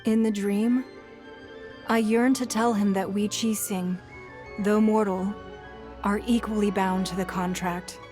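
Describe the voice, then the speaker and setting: A poised woman leader of a fantasy game city, voiced by an actress. She speaks formally and diplomatically in a mid-pitched voice, measured and shrewd, with music playing underneath. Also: fairly even intonation, a little mellow, natural voice. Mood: composed, diplomatic. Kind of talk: scripted fantasy game dialogue.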